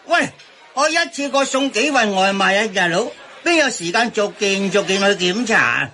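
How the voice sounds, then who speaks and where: A man's voice: high-pitched, lively intonation, normal speed, bright, forceful tone, a little hiss or hum, heavily acted. A man acting a cocky, argumentative delivery man who shrugs off blame, in a Hong Kong Cantonese radio comedy.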